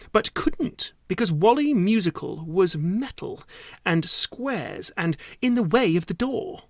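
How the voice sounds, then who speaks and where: A man's voice: sits high, a bit bright, no echo. Guy reading a story super dramatic, takes his time, really dry recording.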